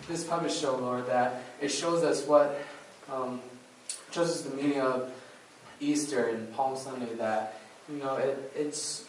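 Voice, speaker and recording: A man speaking informally and a little haltingly as he presents to an audience at a church, addressing them directly. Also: medium pitch. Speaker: puppet show presenter at a church. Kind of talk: casual address to an audience, questions and prayer. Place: church hall, recorded from the audience area. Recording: very echoey room, distant mic, faint noise.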